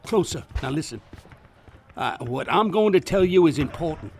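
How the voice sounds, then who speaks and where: An actor playing a dying old plantation master from the American South in a radio drama, proud and urgent. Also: male voice, fairly high pitch, animated, moderate pace, creaky, a little hiss or hum. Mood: grave, confiding. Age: older.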